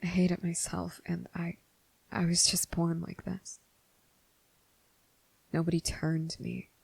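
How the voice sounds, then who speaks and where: A man playing a gentle, anguished young vampire in an ASMR roleplay, speaking in a hushed, halting way. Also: a little high, monotone, no room sound, heavily acted, poor audio. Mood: anguished, fearful. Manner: hesitant, halting, breathy, hushed.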